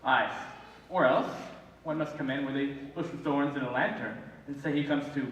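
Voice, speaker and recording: A man's voice: medium pitch, normal speed, fairly bright tone, natural voice. A male student actor playing a bossy, fussy carpenter who directs amateur players in a Shakespeare stage play. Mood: earnest planning. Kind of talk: theatrical Shakespeare stage acting. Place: large indoor school theatre stage. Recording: echoey hall from far off, faint noise.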